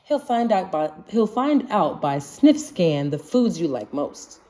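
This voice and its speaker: Animated woman reading a rhyming storybook. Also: lowish voice, measured pace. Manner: expressive, singsong rhyming delivery. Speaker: storybook reader. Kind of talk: children's picture book read-aloud in rhyme.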